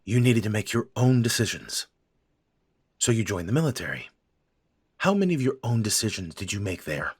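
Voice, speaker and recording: A man voicing a gruff, stubborn middle-aged father with a raspy voice, blunt and scolding, trailing into hesitant pauses. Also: lowish voice, sing-song, no room sound, clean studio sound. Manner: blunt, scolding, softening into hesitant halting speech. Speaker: voice actor. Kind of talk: audio drama acting.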